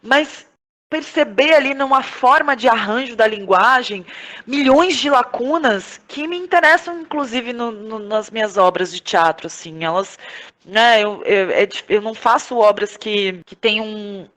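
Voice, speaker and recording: Brazilian woman, halting and reflective. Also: a little high, a bit bright. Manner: halting, searching, thinking aloud, often seeking agreement with tag questions. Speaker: podcast guest, playwright, actress and director. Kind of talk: reflective conversational interview answers.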